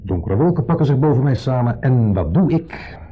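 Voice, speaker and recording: An actor playing a wistful, provocative ex-husband visiting as a guest in a 1970s radio drama, with a wry, probing manner. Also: male voice, mid-range voice, expressive, moderate pace, natural voice. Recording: muffled 1970s radio recording.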